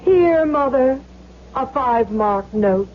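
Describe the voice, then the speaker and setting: A woman's voice: sits high, animated, slow. A woman playing a brazen, mocking, flirtatious woman of the streets in a radio drama. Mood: taunting, playful. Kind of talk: 1970s radio drama acting. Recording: muffled noisy old radio broadcast.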